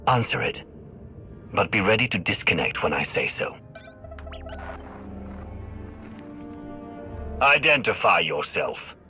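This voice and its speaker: Game lead: commanding, grave Sith, low and forceful with animated pitch, music underneath. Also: male voice, normal speed, heavily acted. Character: stern, resolute male Sith player hero, commanding. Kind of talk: video game cutscene acting.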